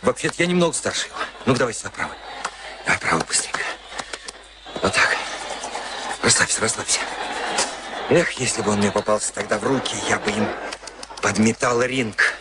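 An actor playing a gruff, boastful older boxing trainer, muttering bossy instructions in a Soviet radio play. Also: male voice, on the low side, animated, a little fast, long pauses, close to an everyday voice. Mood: bustling, grumbling. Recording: noisy archive radio play. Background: loud ambient noise.

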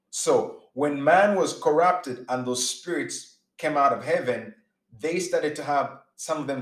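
A man's voice: mid-range voice, echoey room, low-quality recording. A man giving a sermon-style teaching in an earnest way, often repeating words and restarting as he builds his points. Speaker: preacher.

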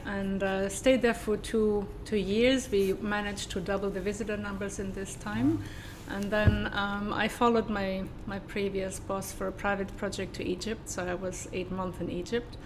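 A woman with a German accent speaks as an interview guest in a flat, even tone with a little background noise. She talks hesitantly, filling pauses and restarting her sentences. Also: mid-range voice. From southern Germany. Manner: hesitant, many fillers and restarts. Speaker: guest, sustainability consultant. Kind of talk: casual interview.

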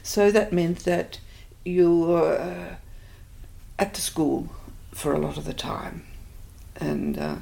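An older woman with an Australian accent, thoughtfully recalling her life in an interview, pausing often. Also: on the low side, huge pitch swings, creaky, warm tone. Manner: reflective, hesitant with many fillers. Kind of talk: oral history interview.

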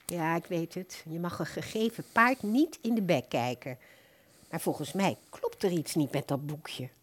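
A woman's voice: on the low side, normal speed, natural voice. An actress playing an older, thrifty and easily puzzled woman customer in a radio play, polite, hesitant and rambling. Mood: puzzled, complaining.

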